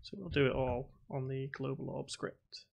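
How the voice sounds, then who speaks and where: A man's voice: mid-range voice, fairly even intonation, moderate pace, vocal fry, a little hiss or hum. A man hosting a casual live stream, thinking aloud as he codes and reading chat questions aloud. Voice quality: self-described boring voice. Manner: talks to himself while typing, reads chat aloud.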